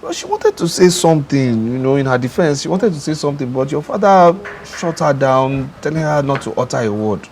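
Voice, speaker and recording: A man's voice: fairly high pitch, very animated, brisk, hardly any pauses, a little hiss or hum. A man playing a son-in-law who begs and then recounts what happened. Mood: recounting. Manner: begging, then recounting softly. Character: humble son-in-law, pleading and rattled.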